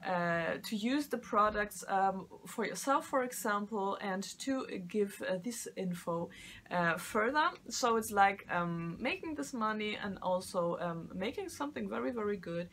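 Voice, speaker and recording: A woman's voice: mid-range voice, animated, measured pace, a bit bright, slight background noise. A middle-aged woman and non-native English speaker, talking casually to camera as a tarot reader and vocal coach, with many fillers and restarts. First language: not English.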